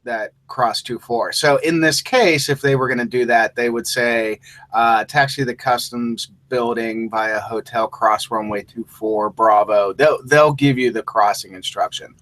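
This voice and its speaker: A man's voice: mid-range voice, measured pace, fairly bright tone, low-quality recording. A man speaking as a co-instructor in an informal lesson, explaining things in a conversational way. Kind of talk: informal live lesson discussion.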